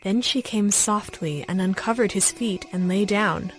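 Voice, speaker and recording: A woman's voice: mid-range voice, unhurried. Woman narrating scripture over music.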